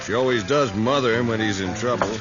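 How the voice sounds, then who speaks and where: An actor playing a smug saloon owner in a 1950s radio western, with a smooth, mocking way of talking. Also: male voice, on the low side, fast talker, natural voice. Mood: smug mockery. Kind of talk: 1950s radio drama acting. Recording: dull old radio recording, loud music.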